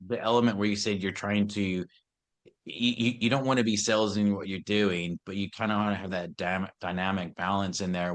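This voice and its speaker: A man hosting a podcast interview, responding with affirming murmurs and asking conversational questions. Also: fairly low pitch. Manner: affirming, with soft listening murmurs. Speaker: podcast host.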